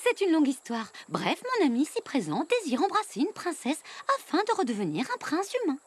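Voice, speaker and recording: Very high, playful furry cartoon critter. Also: female voice, very animated, fairly quick. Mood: wry. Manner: giggly, wry, coy. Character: playful, teasing furry female animal companion. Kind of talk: animated film dub.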